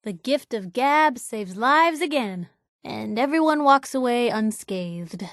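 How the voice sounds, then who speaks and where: A woman playing a sassy, warm-hearted young reporter and loyal companion in a video game, talking in wry, breezy, clipped slang. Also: fairly high pitch, animated, takes it slowly, dry recording, clean studio sound. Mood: wry, teasing. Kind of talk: game voice lines, short reactive barks.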